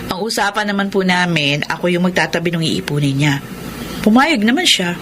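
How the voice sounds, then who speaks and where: An actress playing a dutiful, protective young eldest daughter in a Filipino radio drama, speaking in an earnest, respectful way. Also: female voice, on the low side, lively intonation, brisk, close to an everyday voice. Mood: earnest, reassuring. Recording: noisy radio drama audio.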